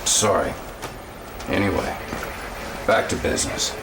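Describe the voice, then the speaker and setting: A jaded soldier confiding in his commander in a video game. His voice is mid-to-normal in delivery, blunt and uneasy, picked up at a distance over clear background noise. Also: deep voice, expressive, unhurried, exaggerated voice. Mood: frank, wary. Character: jaded, plain-spoken male military officer, uneasy and doubtful. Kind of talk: dramatic video game cutscene acting.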